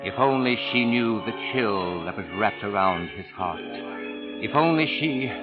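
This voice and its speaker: Suave male crime writer, low and theatrical, old radio mystery with music behind. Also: lively intonation, narrow, tinny audio. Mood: ominous, suspenseful. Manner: theatrical, precise, savoring pauses and hesitations. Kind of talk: 1940s radio mystery acting.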